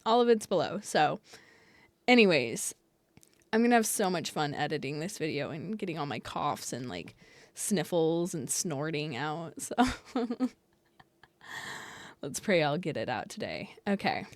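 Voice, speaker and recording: A woman's voice: sits low, big pitch swings, a little slow, pauses often, no echo. A young woman, sick with a cold and sounding nasal, talking casually to camera as an astrologer, rambling and laughing often. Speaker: astrologer and channel host.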